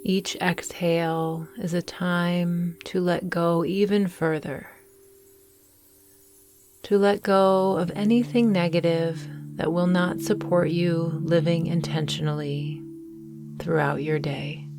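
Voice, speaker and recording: A woman guiding a mindfulness meditation in a calm, soothing, measured way, leaving long pauses between phrases. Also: on the low side, soft background music. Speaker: meditation guide. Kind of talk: guided mindfulness meditation narration.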